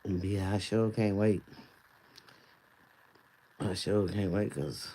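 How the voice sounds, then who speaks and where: A man casually chatting on a livestream in a loose, rambling way, his voice going hoarse. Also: fairly low pitch, steady tone, slow pace, dark tone. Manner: loose, rambling talk with easy laughs. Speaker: livestream host.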